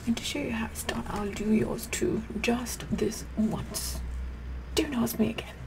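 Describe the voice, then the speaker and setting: A woman playing a sassy, vain, bossy queen in an ASMR roleplay, half-whispering in a pompous, deliberate manner. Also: on the high side, gravelly, noisy background. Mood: haughty, condescending. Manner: pompous, deliberate, repeats words softly. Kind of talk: ASMR roleplay makeup tutorial, whispered and soft-spoken.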